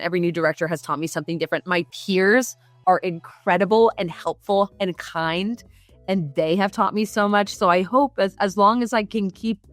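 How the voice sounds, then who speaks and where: Gushing, animated woman in a dry room. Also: fairly low pitch, dry recording. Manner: animated, gushing, many fillers and quick asides. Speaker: voice actor guest. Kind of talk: casual interview chat.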